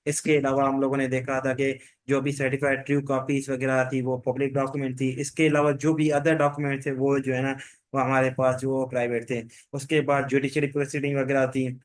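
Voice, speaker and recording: A man's voice: mid-range voice, monotone, fairly quick, no echo. A man giving an informal revision lecture, mixing English technical terms into his speech, with repetitive, loosely strung explanations. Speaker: law lecturer.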